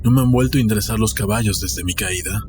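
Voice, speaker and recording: Man playing a proud, secretive, delusional gentleman. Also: lowish voice, fast talker, pitched below the performer's own voice, lightly acted. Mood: curt. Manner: grandiose, formal. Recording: music behind the reading.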